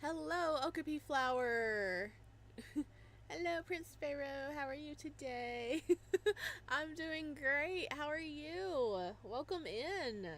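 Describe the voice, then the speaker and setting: A young woman reading a visual novel aloud, breaking off into laughing, excited reactions between passages. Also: sits high, takes it slowly. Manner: animated, laughing exclamations between narration.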